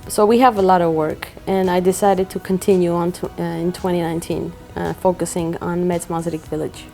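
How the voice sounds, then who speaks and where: A woman's voice: on the low side, little pitch movement, a little fast, mellow, soft background music. A woman charity organizer explaining her work in a matter-of-fact way, with frequent filler uhs. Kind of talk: conversational interview.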